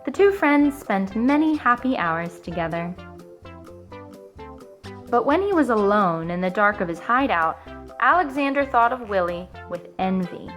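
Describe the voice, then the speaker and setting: A woman in her thirties reading a children's story aloud, expressive, with dramatic pauses. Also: medium pitch, faint music behind. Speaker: storybook reader. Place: living room sofa for the introduction.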